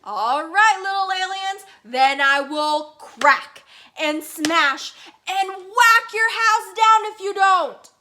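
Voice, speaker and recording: A big bad storybook robot, played by a woman, with a high, bright voice. It is bossy and menacing, drawing out its threats in a loud chant. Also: slight background noise, heavily acted. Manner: drawn-out, chanting, menacing calls.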